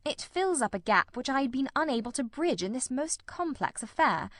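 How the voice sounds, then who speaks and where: Casting: woman reading as a calm, incisive gentleman detective for an audiobook drama; measured, explanatory delivery, very dry sound. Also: sits high, no echo, heavily acted. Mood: calm, analytical.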